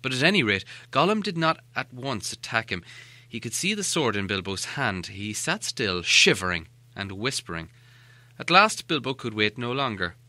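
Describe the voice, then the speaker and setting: A man narrates a storybook dramatically. His voice sits at a middle pitch and is strikingly bright and forceful, with lively rises and falls, in a close, dry recording. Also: moderate pace, no room sound. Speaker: audiobook narrator.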